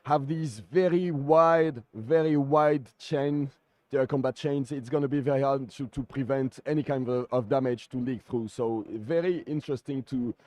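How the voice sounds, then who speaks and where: A man co-commentating a card game tournament in a conversational, somewhat halting way. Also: medium pitch, mellow, no echo.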